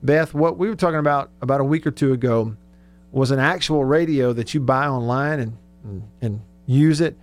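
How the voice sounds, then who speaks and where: A man's voice: medium pitch, moderate pace, soft background music. A man hosting a casual sports radio show, conversational and thinking aloud as he goes.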